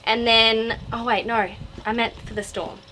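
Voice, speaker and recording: Higher-pitched young Australian woman, chatty. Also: little pitch movement, measured pace, pushed and bright, a little hiss or hum, rough recording. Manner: rambling, digressive, self-interrupting. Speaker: book review vlogger. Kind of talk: casual, chatty book review to camera.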